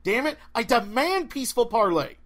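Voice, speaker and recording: A man's voice: extremely high pitch, sing-song, moderate pace, a bit bright, voice pushed up, heavily acted. A man voicing an exasperated, bossy young woman witch in an audiobook, emphatic and irritated. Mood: agitated, pleading. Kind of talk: audiobook character voice.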